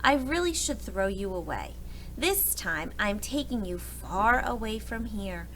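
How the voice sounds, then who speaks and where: A woman voicing a weary, kindly, fretful uncle in a storybook, speaking earnestly and deliberately. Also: medium pitch, lively intonation, a bit bright, a little hiss or hum, poor audio. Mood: resigned. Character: weary, kindly, fretful uncle attached to his old hat.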